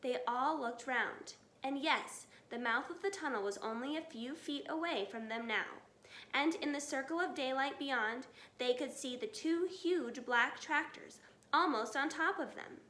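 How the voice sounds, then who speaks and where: A woman reading a children's storybook aloud expressively and deliberately, in a roomy, echoing space. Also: sits high, sharp tone. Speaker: storybook reader.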